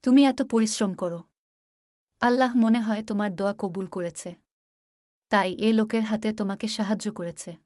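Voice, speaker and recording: A woman's voice: medium pitch, normal speed, pauses often, mellow, no room sound, studio quality, lightly acted. A woman playing the devout, practical wife of a poor farmer in a cartoon, speaking earnestly. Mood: earnest, hopeful.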